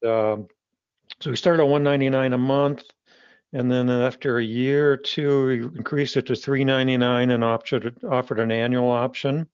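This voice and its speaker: Halting man with a dull, dry sound. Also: medium pitch, fairly even intonation, darkish tone, clear, resonant tone, no echo. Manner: halting, with frequent restarts. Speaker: magazine publisher. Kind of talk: casual interview conversation.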